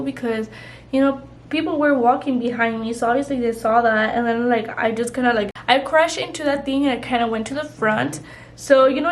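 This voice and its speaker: A woman's voice: high voice, a little hiss or hum. A young woman vlogger chatting casually while doing her makeup, rambling with lots of "like" and "you know". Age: young adult. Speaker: beauty vlogger.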